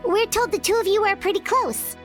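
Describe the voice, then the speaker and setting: A woman's voice: very high-pitched, brisk. A woman voicing a tiny, chatty companion figure who is eager and blunt. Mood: chirpy. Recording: game dialogue over music.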